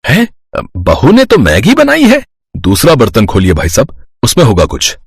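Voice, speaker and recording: A man acts out a jovial older uncle from a storybook family tale. His voice is husky and swings widely in pitch as he exclaims, in a dry recording. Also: huge pitch swings, normal speed, no room sound, heavily acted. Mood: baffled.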